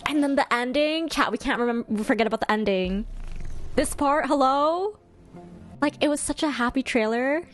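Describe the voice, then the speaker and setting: A woman streamer giving casual, chatty fan commentary to her live chat in an expressive, slangy way. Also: high-pitched, moderate pace, soft background music. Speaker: streamer hosting a ranking video.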